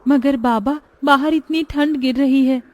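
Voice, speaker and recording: A woman's voice: high voice, fairly even intonation, measured pace, darkish tone, no room sound. A woman voicing a devoted young village daughter. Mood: worried. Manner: earnest, devoted. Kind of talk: dubbed cartoon drama.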